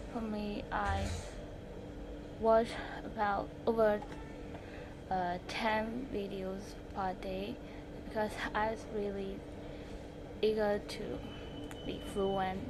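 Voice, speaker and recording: Halting teenage Burmese-accented girl, very noisy recording. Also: medium pitch. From Myanmar. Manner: halting, short broken phrases. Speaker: language-learning vlogger. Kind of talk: self-study tips presentation to camera.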